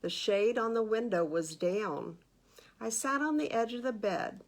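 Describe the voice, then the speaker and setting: Slow-paced woman reading a children's story. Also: on the high side. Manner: expressive, asking listeners questions. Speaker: librarian reading stories to children. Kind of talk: read-aloud children's storytime narration with asides.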